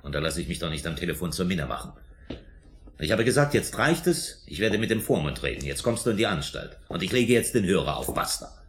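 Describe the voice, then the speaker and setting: A man's voice: low voice, big pitch swings, fast, a bit bright, a little hiss or hum, full character voice. A man acting in a German radio drama as a defensive, indignant suspect under police questioning, emphatic and self-justifying. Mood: indignant, agitated.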